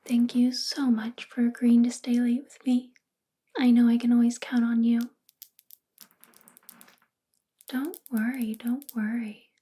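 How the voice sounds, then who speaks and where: Breathy, whispering possessive female boss, acted by a woman. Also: on the high side, level tone, moderate pace, dark tone. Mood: sweetly controlling. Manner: coaxing, intimate, subtly controlling. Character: possessive, controlling female boss, sweetly coaxing. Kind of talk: soft-spoken ASMR roleplay, often whispering.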